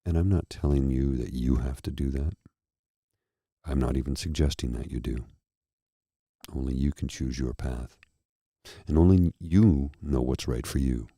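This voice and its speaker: Deep, dark-voiced man speaking earnestly. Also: slightly rough, no echo, clean studio sound. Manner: slow, earnest, heartfelt. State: tired and a little froggy-throated. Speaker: host. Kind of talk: candid personal talk to viewers.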